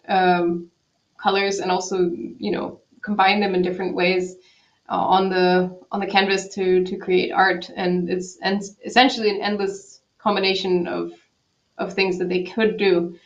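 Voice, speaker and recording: A woman's voice: mid-range voice, level tone, measured pace, lo-fi sound. A woman from Quebec, a cellist guest, answering thoughtfully in a casual interview over a video call, with many restarts. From Quebec, Canada. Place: home in Berlin.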